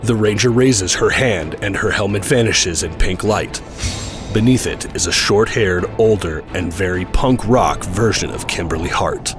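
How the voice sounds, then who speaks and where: Low-voiced male drama narrator over music. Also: unhurried. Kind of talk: scripted audio drama narration. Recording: voice over loud trailer music.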